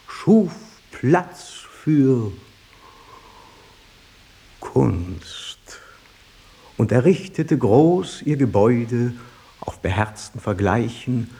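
A grand chorus speaker, proclaims verse for a radio play. The delivery is slow, weighty and emphatic. The voice is gravelly and creaky, with scornful grandeur. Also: male voice, medium pitch, a little mellow. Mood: proclaiming. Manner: declamatory, measured, emphatic. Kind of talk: solemn declaimed verse in stage radio drama.

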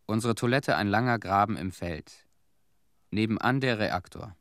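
An actor playing a weary, bitter former cleanup worker who recalls events in a terse, sober monologue for a German radio play. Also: male voice, on the low side, moderate pace, fairly bright tone, dry recording, studio quality, lightly acted. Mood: bleak, matter-of-fact.

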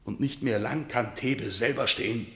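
An actor playing a grim messenger of defeat in a radio drama, declaiming solemn stage verse with a rhetorical manner. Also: male voice, mid-range voice, a bit bright, roomy sound, close to an everyday voice. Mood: grief-stricken, subdued. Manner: solemn, rhetorical, measured delivery. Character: grim male battle messenger bearing news of catastrophic defeat.

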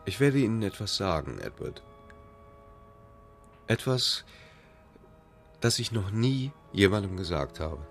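An actor playing an urbane middle-aged playwright in a radio play, with dry, polished wit and an unflappable manner. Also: male voice, fairly low pitch, sing-song, takes it slowly, gravelly, pauses often, soft background music, full character voice. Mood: confiding, pained.

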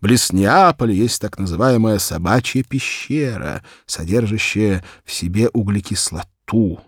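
A man voicing a sweet young governess in an audiobook, giving a lesson in an absent-minded, measured, didactic way. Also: lowish voice, expressive, slightly rough, no room sound, clean studio sound, heavily acted. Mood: dreamy, instructive. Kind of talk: audiobook character dialogue.

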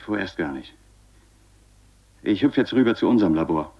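An actor playing an uneasy, stubborn astronaut who reports tersely and haltingly over an intercom in a German science-fiction radio play. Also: male voice, deep voice, expressive, slightly rough, heavily acted. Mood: grave, reporting. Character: uneasy, stubborn astronaut exploring a wrecked asteroid station. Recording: dull, lo-fi radio sound.

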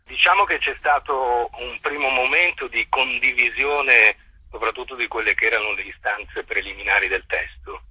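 A man giving a radio interview as a theatre director, speaking in a measured, halting way with elaborate, formal phrasing. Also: medium pitch, sharp tone, breathy edge, no echo, poor audio. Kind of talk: radio interview, explanatory talk.

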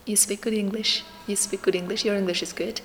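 Young woman interviewer, native Mongolian speaker, English, mid pitch, patient, repeats and rephrases questions. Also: fairly even intonation, brisk, a little hiss or hum. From Inner Mongolia, China. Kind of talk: research interview.

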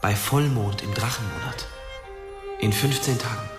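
An actor playing an earnest young hero and questioning son in a German fantasy radio drama. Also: male voice, mid-range voice, unhurried, natural voice. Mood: concerned. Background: music.

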